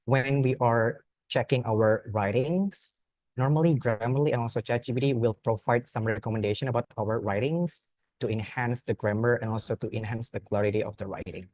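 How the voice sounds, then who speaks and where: A man's voice: medium pitch, brisk, darkish tone, no echo, rough recording. A young man with an Indonesian accent, a native Indonesian speaker, giving an earnest student talk with frequent restarts. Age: young adult. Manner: earnest, plain phrasing with frequent restarts and repeated words. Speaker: student speaker at a youth forum. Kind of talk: informal presentation.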